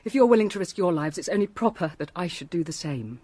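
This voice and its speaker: A woman's voice: on the low side, expressive, fast, dark tone, no room sound. A woman acting a resolute, formal married lady in an audio drama. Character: resolute, composed married lady facing danger.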